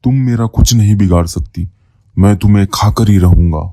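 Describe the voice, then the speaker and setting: A man's voice: fairly low pitch, a little fast, dark tone, no echo, studio quality, lightly acted. A man voicing a henpecked, blustering husband in a Hindi cartoon moral story. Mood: threatening, blustering. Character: reluctant henpecked husband, blustering when threatening.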